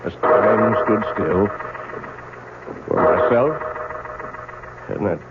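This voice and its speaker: An actor playing a haunted, brooding clockmaker in an old-time radio drama, speaking in a grave, deliberate, melodramatic manner. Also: male voice, on the low side, very noisy. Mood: grave dread. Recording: tinny vintage radio broadcast, music.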